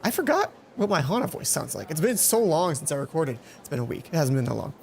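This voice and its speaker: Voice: medium pitch, big pitch swings, fast talker, gravelly. A let's play host reading a visual novel aloud and breaking off to add comments of their own. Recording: music under the voice. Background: game music.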